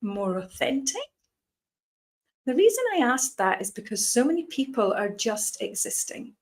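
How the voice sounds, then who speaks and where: A woman's voice: on the high side, very animated, normal speed. A woman with an Edinburgh Scottish accent, a meditation teacher giving an earnest motivational talk full of rhetorical questions. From Scotland. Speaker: guest speaker, meditation teacher.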